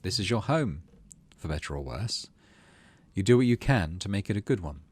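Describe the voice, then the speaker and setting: A man reading story text from a video game aloud as an expressive narrator, with dramatic storybook delivery and casual asides. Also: low voice, moderate pace, slightly rough, no echo.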